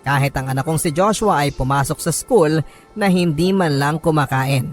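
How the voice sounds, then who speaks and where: Filipino guy reading a sad dramatic story, kinda high voice, soft music behind him. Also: moderate pace. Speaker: radio drama host reading a listener's letter. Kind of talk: dramatic first-person story narration. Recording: music bed under the voice. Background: background music.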